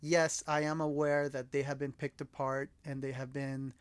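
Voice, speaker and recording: A man's voice: mid-range voice, flat delivery, normal speed, clean tone, no room sound. A man from El Salvador, a native Spanish speaker, co-hosting a podcast and casually discussing films with earnest enthusiasm. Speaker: podcast co-host.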